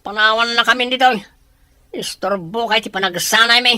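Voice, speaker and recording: Blunt, stubborn follower, played by a woman, tense and sharp, close dry sound, amateur drama. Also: on the high side, normal speed, no echo. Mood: dismissive, stubborn.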